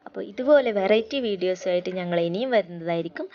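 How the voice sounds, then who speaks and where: A woman's voice: on the low side, animated, fast. Muffled-sounding woman hosting a vlog. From Kerala, India. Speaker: vlog host. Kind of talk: vlog sign-off addressed to viewers. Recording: dull band-limited sound, faint music. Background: faint background music.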